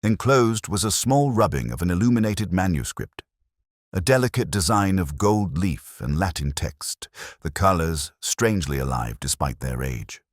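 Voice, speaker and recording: A man reading a scripted first-person literary detective story in a measured, melancholy, reflective manner. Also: sits low, animated, no room sound, studio quality.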